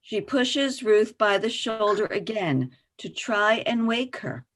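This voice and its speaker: A woman's voice: mid-range voice, animated, slow pace, a bit bright. A woman reading scripted stage directions as narrator for a play reading.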